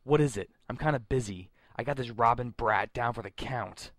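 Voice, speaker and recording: A man's voice: medium pitch, moderate pace, no echo, clean studio sound, natural voice. A man voices a cocky villain's henchman for a superhero fan dub. His voice sits in the middle range, sneering and taunting like a tough guy. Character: sneering, cocky male henchman and bird handler.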